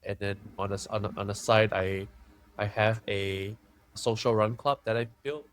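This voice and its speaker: A young man from Singapore, talking candidly and thoughtfully as a podcast guest, often pausing and restarting. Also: lowish voice, fairly even intonation. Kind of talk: candid conversational interview.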